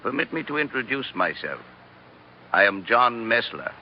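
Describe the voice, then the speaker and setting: An actor playing a coolly polite, sardonic gentleman in a formal, measured 1940s radio drama style. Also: mid-range voice, very animated, over loud music, close to an everyday voice. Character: coolly polite, sardonic older gentleman, long held captive. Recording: distant, muffled, noisy old radio.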